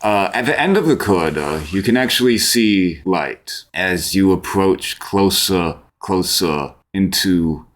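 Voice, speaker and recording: A man narrating a tabletop fantasy game as game master, deliberate and descriptive. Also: sits low, lively intonation.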